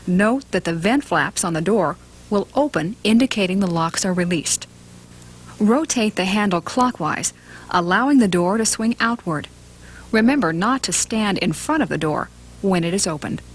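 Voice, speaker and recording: A woman reading scripted instructional narration for a training film in a measured, matter-of-fact way. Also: mid-range voice, expressive, husky.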